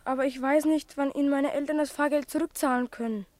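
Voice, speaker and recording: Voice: sits high, fairly even intonation, fast, no room sound, lightly acted. A performer in a radio play playing an earnest, troubled schoolboy, polite and hesitant. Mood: shy, grateful.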